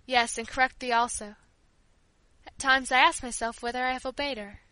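Lady reading as a kind grieving old maid servant, fond and rambly, bright high voice, audiobook. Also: fairly even intonation, normal speed, dry recording. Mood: sorrowful, tender. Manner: tender, fond, rambling storyteller. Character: kindly, grieving old female family servant. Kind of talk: audiobook character dialogue.

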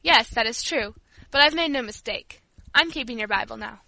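Woman playing a strict head of household, forceful delivery, commanding, firm, audiobook character, dry sound. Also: on the high side, normal speed, creaky, no room sound, full character voice. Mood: stern. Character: stern, quick-tempered father, head of household.

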